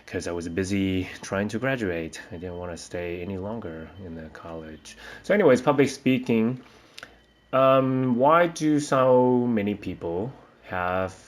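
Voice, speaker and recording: Slow, hesitant man from Taiwan. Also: lowish voice, animated. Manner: hesitant, rambling, frequent fillers and restarts. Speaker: teacher. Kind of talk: casual spoken reflection.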